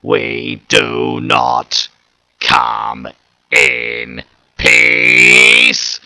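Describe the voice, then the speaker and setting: A man's voice: mid-range voice, very animated, slow, vocal fry, pushed and bright, dry recording, studio quality, exaggerated voice. A man voicing a second alien war machine with a harsh, cold voice in a storybook reading. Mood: menacing.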